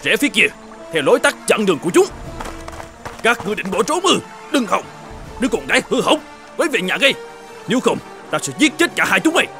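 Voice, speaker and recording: A man's voice: sits high, very animated, a little fast, long pauses, sharp tone, faint music behind, exaggerated voice. An actor playing a hot-tempered, domineering middle-aged innkeeper and vengeful father in a cartoon folk tale, blustering and threatening. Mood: furious, threatening.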